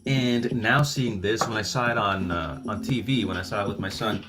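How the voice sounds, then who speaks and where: A man's voice: on the low side, normal speed. A middle-aged man from El Salvador, hosting a casual livestream Q&A. He rambles in a chatty way and often restarts his words. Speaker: YouTube channel host. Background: music playing.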